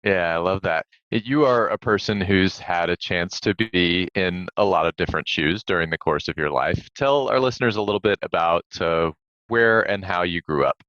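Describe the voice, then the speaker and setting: Low-voiced male podcast host, dull sound. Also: moderate pace, a bit bright. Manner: friendly, affirming questions. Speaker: podcast host, former student. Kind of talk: podcast interview.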